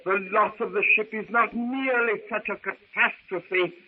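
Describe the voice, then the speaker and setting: High-voiced, dithering elderly professor. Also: male voice, fairly even intonation, a little slow. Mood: dismayed. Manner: hesitant, repetitive, pompous wordy speech. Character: fussy, absent-minded elderly male professor, pompous and dithering. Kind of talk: old-time radio drama acting. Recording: tinny, hissy vintage radio recording.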